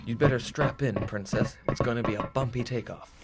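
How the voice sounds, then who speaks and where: A man acts out a swaggering hero captain from a retro space serial. He talks with jaunty heroic bravado in a medium-pitched voice, with clear music behind him. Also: a little fast, close to an everyday voice. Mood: confident. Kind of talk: retro sci-fi audio drama acting.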